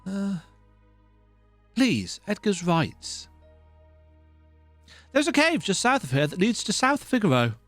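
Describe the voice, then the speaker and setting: An earnest fantasy game hero, acted out by a man, speaks with dramatic urgency. The pitch sits high and moves in big, animated swings, with game music underneath. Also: slow, long pauses, no room sound, exaggerated voice. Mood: earnest, persuading. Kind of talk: voiced game dialogue reading. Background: video game music.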